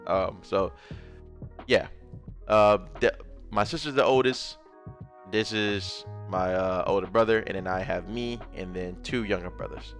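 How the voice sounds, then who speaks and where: A man's voice: sits low, a little slow, faint music behind. A young man from Memphis with a Southern accent, casually telling his life story while drawing, rambling and adding little sound effects. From Memphis, Tennessee. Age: young adult. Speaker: gaming content creator.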